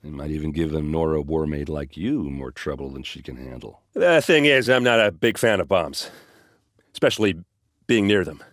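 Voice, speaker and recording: A gruff fantasy game warrior captain, with a low voice. He is brash and loyal, a drinker who talks bluntly and jokes wryly in informal words. The sound is dry, with no room around it. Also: expressive, normal speed, dry recording. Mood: grave, subdued. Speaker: game character voice actor. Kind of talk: video game dialogue and combat lines.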